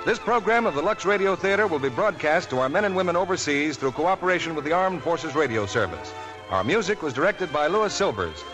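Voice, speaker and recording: A man's voice: a little high, lively intonation, brisk, poor audio. A man announcing on a 1940s radio broadcast, reading public notices in an earnest, declamatory way. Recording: old radio broadcast with music.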